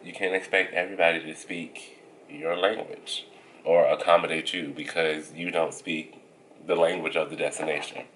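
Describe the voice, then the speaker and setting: A young man with a low, deep voice chats in a relaxed vlog style and keeps poking fun at himself. He talks about life as a flight attendant. Loud background noise from travel surroundings runs under his voice. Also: normal speed. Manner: chatty, self-deprecating, joking.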